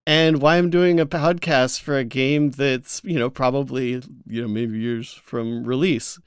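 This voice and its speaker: Male podcast co-host, smooth clear voice, dry close sound, casual halting delivery, mid pitch. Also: steady tone, no room sound.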